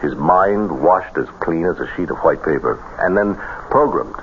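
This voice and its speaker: An actor playing a stern, guarded army colonel in a radio drama, speaking formally and gravely. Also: male voice, low voice, lively intonation, normal speed. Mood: grave warning. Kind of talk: old-time radio drama acting. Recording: tinny, noisy old radio broadcast.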